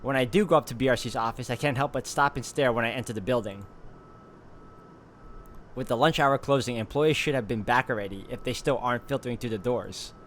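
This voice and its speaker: A man's voice: mid-range voice, fairly quick, slight background noise. A man hosting a let's play, reading visual novel narration aloud and dropping in casual asides.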